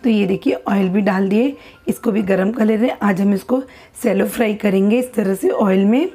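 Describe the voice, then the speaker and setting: A woman talking through a recipe step by step in her home kitchen, in an unhurried, repetitive instructional way. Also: mid-range voice, warm tone, slightly rough, soft background music. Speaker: cooking channel host. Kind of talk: step-by-step cooking demonstration. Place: home kitchen at a gas stove.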